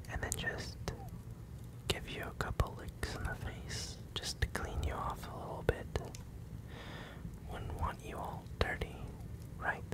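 A performer voicing a male werewolf captor in a breathy, slow, teasing whisper, close to the mic in an ASMR roleplay. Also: lightly acted, low-quality recording. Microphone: close microphone, near the ear.

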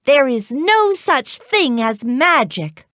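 A woman voicing an excitable, scolding mother in a children's storybook, speaking in exclamations. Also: a little high, slow pace, no echo, clean studio sound, pitched above the performer's own voice, heavily acted. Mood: angry. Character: poor, excitable, scolding mother of young boy.

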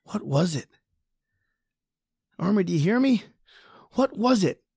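A woman's voice: mid-range voice, animated, unhurried, gravelly, warm tone, slightly rough, no echo, clean studio sound, full character voice. A woman playing a frightened, injured man hiding in a tunnel, stammering and panicky, in an old-time radio drama. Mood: tense, hushed.

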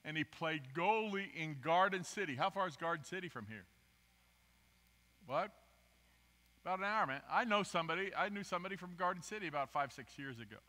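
An older man gives a storytelling sermon from a church podium, his slightly high voice bright and sharp. He wanders from point to point, poking fun at himself and swinging between loud emphasis and hushed asides. The sound carries a long reverb from a large sanctuary, picked up by a podium mic. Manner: rambling, self-deprecating, swings from loud emphasis to quiet asides. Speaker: guest preacher. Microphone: podium microphone, filmed from the pews.

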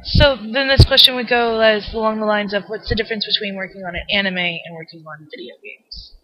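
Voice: mid-range voice, fairly even intonation, a little fast, lo-fi sound. An interview host asking questions in a chatty, informal, somewhat hesitant way.

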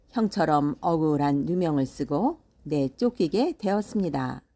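A woman tells a bedtime folktale in a soft-edged, very mellow voice, a little low in pitch. She takes her time with the scripted lines, measured and deliberate. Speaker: storyteller.

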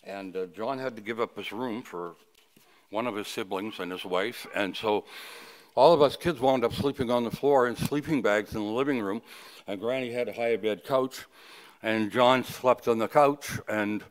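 Low-pitched older man giving a funeral sermon. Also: moderate pace, low-quality recording. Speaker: funeral officiant, preacher. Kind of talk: funeral sermon with personal reminiscence. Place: indoors at a funeral home, at a wooden lectern. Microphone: lectern microphone.

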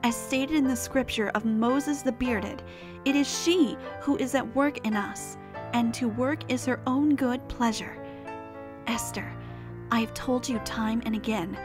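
Protective cartoon mare captain, acted by a woman, high and earnest, solemn in her devotion, light music underneath. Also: close to an everyday voice. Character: devout, protective pony mare, captain of the guard. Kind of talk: animated fan drama acting.

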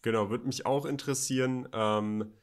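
Young man, medium-pitched podcast voice. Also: fairly even intonation, normal speed. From Berlin, Germany. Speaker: podcast co-host. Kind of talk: conversational podcast discussion. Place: home office studio, indoors. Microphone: studio microphone on boom arm, close.

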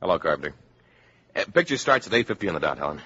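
Brisk, jealous suitor. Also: male voice, low-pitched, very animated, pushed and bright, heavily acted. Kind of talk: 1950s radio drama acting. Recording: dull, noisy 1950s radio recording.

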